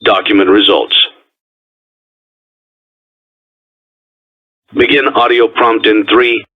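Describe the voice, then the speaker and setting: Through a tinny, phone-like cassette filter comes a calm, ominous businessman in a horror game. His voice is lowish and husky. He delivers procedural instructions formally and deadpan, in measured phrases. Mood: flat, procedural. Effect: old cassette tape, radio-like filter. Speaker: instructional tape narrator. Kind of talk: scripted instructional tape narration. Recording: tinny, phone-like old tape recording.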